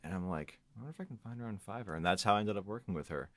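A man with a fairly deep voice talks casually as a podcast guest. His voice is recorded dry, with hardly any room sound. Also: lively intonation, racing, no echo.

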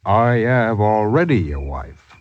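An actor playing a sly, plotting village husband in old-time radio drama, with rustic, archaic phrasing. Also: male voice, on the low side, big pitch swings, takes it slowly. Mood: brooding, wheedling. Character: sly, plotting village husband who poisons his wife. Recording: muffled vintage radio recording.

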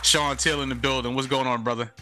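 A man's voice: medium pitch, steady tone, quick pace, sharp tone, faint music behind. A man from New York hosting a casual podcast interview, hyping his guest in loose street talk. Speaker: podcast host.

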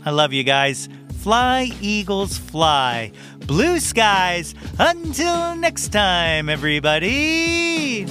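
A man's voice: sits high, sing-song, very slow, over music. A man hosting a podcast, talking in an informal, jokey way. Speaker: podcast host. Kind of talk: casual podcast talk and outro.

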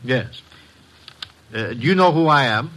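An actor playing a secretive company president in a 1950s radio drama, formal, careful and hesitant. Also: male voice, mid-range voice, lively intonation, measured pace, a bit bright, low-quality recording. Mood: businesslike. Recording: vintage 1950s radio recording.

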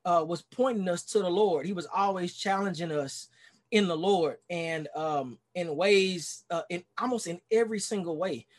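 A man's voice: high-pitched, moderate pace. A man in his forties from Dallas with a Texan accent, a pastor sharing his life story in a casual interview over a video call, earnest and rambling with many fillers. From Dallas, Texas. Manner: earnest, rambling, many "you know"s and restarts. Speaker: pastor and podcast guest.

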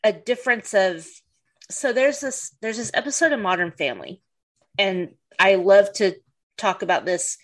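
A middle-aged woman talks loosely in a relaxed podcast chat. Her pitch sits at an ordinary middle height. She backs up and restarts her phrases, filling the gaps with "you know.". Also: normal speed. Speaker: podcast host. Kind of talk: casual chat.

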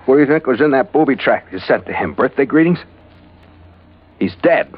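An actor playing a tough, wry detective in old radio noir style, speaking and narrating in a laconic, deadpan way. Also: male voice, mid-range voice, a little fast, husky. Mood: wry defiance. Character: tough, wry male hardboiled detective narrating his adventure. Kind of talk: 1940s-50s radio noir acting and first-person narration. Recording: muffled old radio recording, loud music behind.

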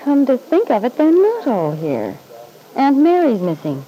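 A woman's voice: high-pitched, huge pitch swings, a little slow, dull audio, full character voice. An actress playing a catty, disillusioned woman in a vintage radio soap, arch, needling and drily amused. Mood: curious, dry. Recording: old radio recording with faint hiss.

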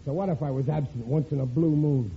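Man doing a gruff blustering working-class husband, grumbling, tinny old radio sound with hiss. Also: on the high side, a little fast, lightly acted. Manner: gruff, grumbling, self-pitying. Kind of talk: 1940s radio acting.

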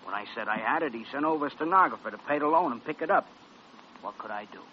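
An actor playing an evasive, slangy American pawnbroker who repeats himself nervously in an old-time radio drama. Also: male voice, medium pitch, expressive, quick pace, lightly acted. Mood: defensive, nervous. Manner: streetwise slang, stammering repeats. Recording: dull old radio recording, faint noise.

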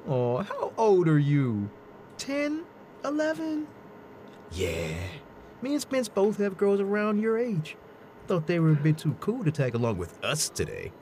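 A man's voice: sits high, huge pitch swings, measured pace, a little mellow, a little hiss or hum, exaggerated voice. A man playing a cocky office bully with swaggering, jeering banter. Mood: cocky, patronising. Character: cocky, bullying male office bro, mocking his colleague.

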